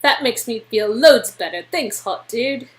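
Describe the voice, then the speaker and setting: A woman's voice: a little high, expressive, a little slow. A woman playing an affectionate, sarcastic young girlfriend in a story game, gushing and teasing.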